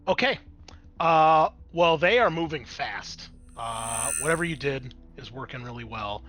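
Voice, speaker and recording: Male game master, bright forward tone, mid pitch, casual improvised narration for a tabletop roleplaying session. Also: expressive, unhurried, poor audio.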